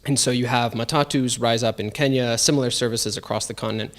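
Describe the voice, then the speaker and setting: Fast-talking enthusiastic male researcher. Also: medium pitch, fairly even intonation. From Tanzania. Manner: enthusiastic, explanatory, many fillers. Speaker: guest researcher. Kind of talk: interview answers.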